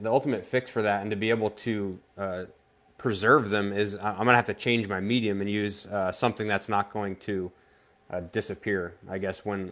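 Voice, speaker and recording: A man from Vermont, a guest artist on a casual live-stream interview, speaking in a halting way with frequent restarts. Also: fairly low pitch. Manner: halting, stops and restarts often.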